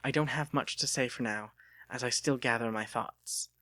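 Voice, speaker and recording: A man's voice: mid-range voice, normal speed, sharp tone, no echo, close to an everyday voice. A man voicing an earnest, lovelorn young woman with formal phrasing in an audiobook. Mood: earnest, regretful.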